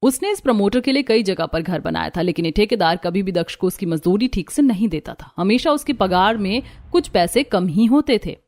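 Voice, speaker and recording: A woman's voice: medium pitch, lively intonation, brisk, few pauses, darkish tone, dry recording. A woman reading scripted storytelling narration for a cartoon.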